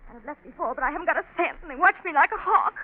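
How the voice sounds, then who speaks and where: High-pitched, desperate young woman, vintage radio. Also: animated, very fast. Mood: desperate, pleading. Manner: intense, insistent, commanding. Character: desperate, unbalanced young woman, domineering and armed. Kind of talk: 1940s radio drama acting. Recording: scratchy, narrow-band 1940s radio recording.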